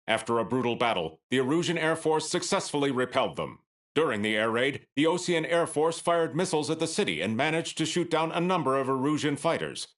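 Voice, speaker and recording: An actor playing a serious TV news reporter in a video game, reading a formal breaking-news bulletin. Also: male voice, fairly high pitch, a bit bright.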